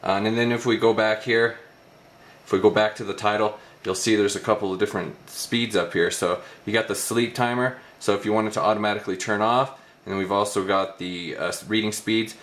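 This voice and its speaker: A man's voice: fairly low pitch, steady tone, fairly quick, a bit bright. A man hosting a casual hands-on tech demo, talking indoors in a room. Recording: roomy, echoey indoor recording.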